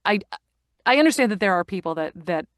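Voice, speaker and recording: A woman in her fifties, an actor and narrator, talking in an animated way over a video call and repeating phrases for emphasis. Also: medium pitch, fast, no room sound, studio quality. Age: 50s. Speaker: guest, actor and audiobook narrator. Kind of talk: casual interview conversation.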